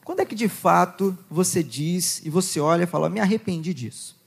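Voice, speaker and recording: A young man with a Goiás accent preaching to a youth conference, joking with the crowd, reading scripture and praying in a quieter, lower voice. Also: brisk, vocal fry, slightly rough. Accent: Goiás Brazilian Portuguese. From Goiás, Brazil. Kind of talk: sermon with Bible reading and prayer.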